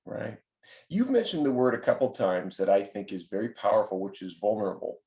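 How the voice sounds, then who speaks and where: Low-voiced man interviewing, echoey room. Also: sing-song, a little fast, mellow. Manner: rambling, many fillers and restarts. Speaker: program facilitator and interviewer. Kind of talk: conversational interview.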